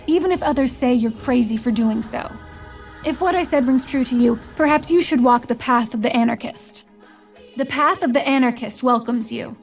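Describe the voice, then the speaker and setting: High-voiced, solemn fantasy visionary. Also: female voice, darkish tone. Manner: measured, portentous, rhetorical. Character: solemn, commanding female visionary mentor recruiting chosen leaders. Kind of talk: scripted fantasy game voice lines.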